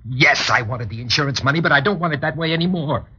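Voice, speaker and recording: A man's voice: on the high side, fast talker, bright, forceful tone, husky. A man playing a guilt-ridden, scheming husband who pleads urgently and frantically in an old-time radio melodrama. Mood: urgent, pleading. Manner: urgent, pleading, stammering under pressure. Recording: dull lo-fi old radio recording.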